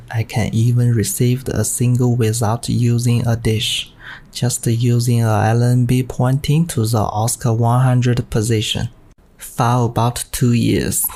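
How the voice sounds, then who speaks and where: A man with a Chinese accent narrates a prepared amateur radio presentation. His delivery is stilted and very even in pitch, at an unhurried pace. The tone is mellow and dark. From China.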